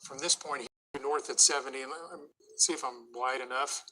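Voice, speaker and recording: A man's voice: mid-range voice, steady tone, moderate pace, bright, forceful tone. A man giving live severe weather commentary as a TV forecaster, urgent and explanatory, going through the situation place by place.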